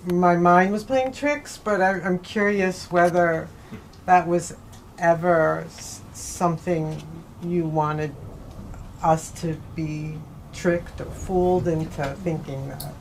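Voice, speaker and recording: A woman's voice: lowish voice, fairly even intonation, slow pace, a little hiss or hum, rough recording. A woman speaking informally as an audience member at a book event, in a conversational, rambling way. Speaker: audience member asking a question. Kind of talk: informal spoken question. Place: bookstore event space.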